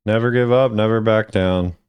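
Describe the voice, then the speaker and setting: Male gaming commentator, lowish smooth voice, laid-back and musing, dry close sound. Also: fairly even intonation, moderate pace, no room sound, dull audio. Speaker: video creator reacting to game voice lines. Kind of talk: casual reaction commentary.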